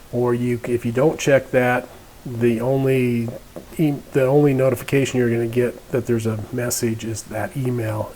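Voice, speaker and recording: A man narrating an informal software tutorial, explaining step by step in an unscripted, halting way. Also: lowish voice, a little hiss or hum, rough recording. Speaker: instructor, company representative presenting a tutorial.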